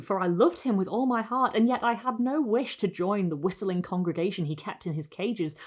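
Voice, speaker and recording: A woman reading gothic literary prose aloud as dramatic narration, with expressive, deliberate phrasing and pauses. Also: medium pitch. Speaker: reader of literary short stories.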